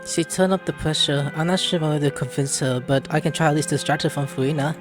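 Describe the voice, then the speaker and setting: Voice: mid-range voice, fast. A streamer giving casual, offhand reactions and reading along while playing a video game. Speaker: gameplay streamer. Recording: game music behind the voice.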